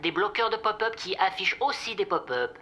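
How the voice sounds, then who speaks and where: A woman's voice: medium pitch, lively intonation, a little fast, sharp tone, a little hiss or hum. A woman playing a sarcastic, brusque, fed-up helpline operator in a comic audio drama. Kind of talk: audio drama comedy acting.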